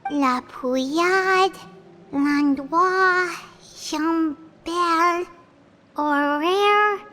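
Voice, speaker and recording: A woman voicing a tiny, excitable fairy companion in a game, who talks about herself in the third person. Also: extremely high pitch, extremely slow, a little hiss or hum, full character voice. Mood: chirpy. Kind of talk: game voice acting.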